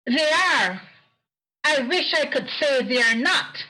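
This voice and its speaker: A woman acts out a genteel charity lady in a radio play. Her voice is bright and highish, with a lively rise and fall. She makes each appeal slowly and politely, cheerful and full of earnest purpose. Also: full character voice, low-quality recording. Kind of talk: amateur radio play acting. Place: performed remotely over a video call. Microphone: video call.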